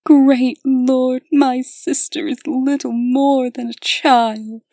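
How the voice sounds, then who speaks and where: A woman playing a soft, pleading young medieval maiden who speaks in a demure, formal, archaic way in a comic dub. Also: sits high, little pitch movement, takes it slowly, dry recording, studio quality. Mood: pleading, pained.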